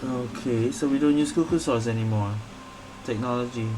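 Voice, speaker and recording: A woman's voice: a bass voice, moderate pace, over music, poor audio. A woman streamer giving casual playthrough commentary in fragmented, muttered reactions, with room noise behind her. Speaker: gaming streamer.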